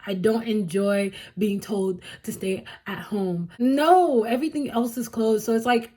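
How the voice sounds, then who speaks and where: A woman's voice: on the high side, unhurried, dark tone. A woman from Cameroon, a vlogger casually telling a story in a chatty, rambling way, often correcting herself and laughing.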